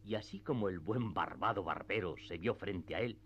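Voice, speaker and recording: A male narrator with a fairly low voice reads classic literary prose for a radio drama. The delivery is scripted storytelling, and the sound is slightly dull and muffled. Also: moderate pace, a little hiss or hum.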